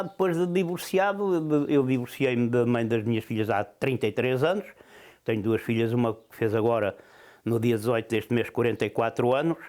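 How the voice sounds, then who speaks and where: A man's voice: mid-range voice, a little mellow. A man in his sixties from Portugal with a European Portuguese accent, hesitantly recounting his life in an interview. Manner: hesitant, with many fillers. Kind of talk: personal account in an interview.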